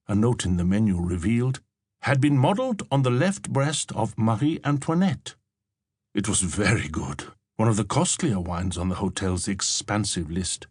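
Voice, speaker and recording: Reflective elderly novelist, close and dry. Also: male voice, fairly low pitch, a little mellow, slightly rough, no room sound. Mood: wry, reflective. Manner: measured, literary, formal. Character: reflective elderly German-born novelist and professor recalling his past. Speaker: audiobook narrator. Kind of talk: scripted first-person literary narration.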